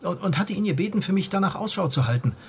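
An actor playing a nervous, eager young musicology student who explains things haltingly in a radio crime drama. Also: male voice, sits high, lively intonation, gravelly, darkish tone, a little hiss or hum. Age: young adult.

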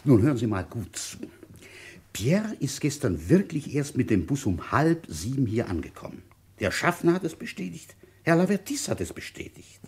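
An actor playing a methodical, dryly patient village police commissioner who thinks aloud conversationally in a German radio drama. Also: male voice, medium pitch, big pitch swings, normal speed, a little mellow, husky, exaggerated voice. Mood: patient, probing. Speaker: narrator and lead detective in a radio play. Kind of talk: German radio drama, intimate first-person narration.

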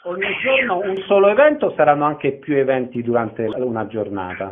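A man's voice: on the high side, expressive, normal speed. Male radio interviewer, tinny phone-like sound.

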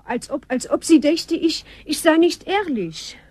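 An actress playing a timid, nervous lady's maid in a German radio drama, halting and breathy. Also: high-pitched, lively intonation, darkish tone, no echo, studio quality. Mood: hesitant. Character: anxious French lady's maid, young, timid and fearful.

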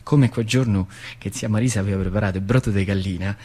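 A man's voice: fairly low pitch, fast, mellow, lightly acted. Man playing an earthy old factory worker reminiscing. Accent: central Italian, Lazio dialect. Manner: emphatic storytelling with repeated phrases. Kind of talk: theatrical monologue.

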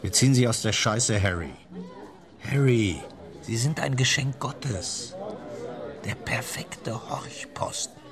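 A man's voice: medium pitch, animated, slow, a crowd in the background. An actor playing a slick, coercive British intelligence agent in a radio crime drama, pressing and insinuating as he talks. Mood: gloating flattery.

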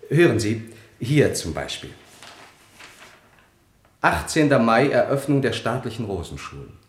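An actor playing a truth-telling servant and diarist in a German radio play, formal and deliberate with quiet irony. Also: male voice, mid-range voice, big pitch swings. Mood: insistent, ironic. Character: politely insolent male servant, the ruler's truth-telling diarist. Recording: roomy, echoing studio sound.

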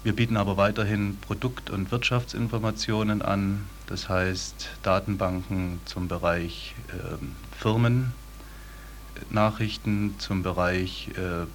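A man giving formal interview answers as a business manager, hesitant and halting. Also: lowish voice, steady tone, slight background noise. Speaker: sales director being interviewed.

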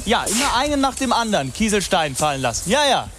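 A man's voice: high voice, big pitch swings, fast, a bit bright. An actor playing an earnest, obsessive fairy-tale archaeologist who explains his theory pedantically, with insistent leading questions, in a naturalistic radio drama. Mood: brisk, pleased. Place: outdoors in woodland. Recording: faint outdoor background noise.

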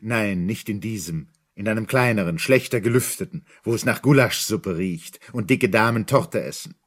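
An actor as a wry, musing narrator of a German radio play, given to playful asides. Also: male voice, lowish voice, moderate pace, close to an everyday voice.

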